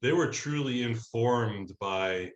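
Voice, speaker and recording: A man's voice: mid-range voice, takes it slowly. Wry man in an echoey room. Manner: wry, joking. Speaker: podcast guest, musician. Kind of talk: casual conversational interview. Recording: roomy, echoing sound.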